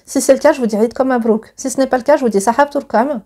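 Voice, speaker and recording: A woman's voice: sits high, quick pace, dark tone. A woman in her thirties chatting to her viewers and reading a letter aloud, gushing and full of fillers and restarts.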